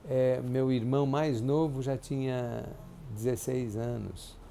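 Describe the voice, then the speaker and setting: Older man, 70s, gravelly and dark-toned; halting, reflective interviewee with faint room hiss. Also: medium pitch, fairly even intonation. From Brazil. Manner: halting, reflective, many restarts and tag questions. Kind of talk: oral history interview. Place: clinic office.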